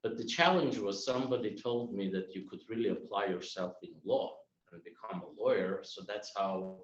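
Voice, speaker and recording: A man's voice: on the low side, normal speed. A man in his fifties from Armenia, Armenian his first language, giving an earnest personal speech at a club meeting. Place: room on a video call. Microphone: video call mic. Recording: echoey, dull, from far off.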